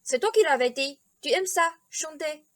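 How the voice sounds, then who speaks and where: Encouraging king and father played by a woman, fairly high voice, dry close sound, coaxing, radio drama. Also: lively intonation, normal speed, no echo. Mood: urging. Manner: coaxing, persuasive. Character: coaxing, encouraging royal father of a young prince. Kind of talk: radio play acting.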